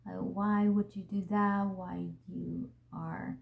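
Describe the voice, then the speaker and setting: A young woman speaks with a Vietnamese accent in an unhurried, deliberate rhythm. Her voice is soft and mellow, and her manner is gentle and earnest as she thinks aloud to her viewers. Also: medium pitch, flat delivery, vocal fry. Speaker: vlog host. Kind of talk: reflective talk to camera.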